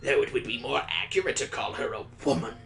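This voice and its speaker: A man voicing a grave, measured schoolteacher who lectures in a formal, deliberate way in a visual novel. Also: medium pitch, gravelly, a bit bright. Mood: solemn, didactic.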